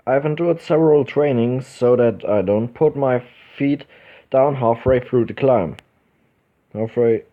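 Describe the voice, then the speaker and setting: A man from Germany with a German accent hosting a casual let's play, reading visual novel text aloud haltingly and correcting himself as he goes. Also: medium pitch. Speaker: gaming video host. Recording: dull lo-fi microphone, music behind.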